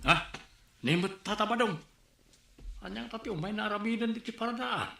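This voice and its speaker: A man's voice: high-pitched, normal speed, creaky, pushed and bright, echoey room, exaggerated voice. A man with an Ilocano accent playing an indignant, scolding tricycle driver in a radio comedy drama. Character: indignant male tricycle driver, threatening fines.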